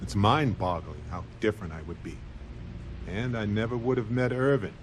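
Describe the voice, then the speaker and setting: An actor playing a genial, wry veteran space explorer in a video game, chatty and reflective. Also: male voice, fairly low pitch, moderate pace, slight background noise, lightly acted. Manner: conversational, playful, reflective. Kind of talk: scripted video game dialogue.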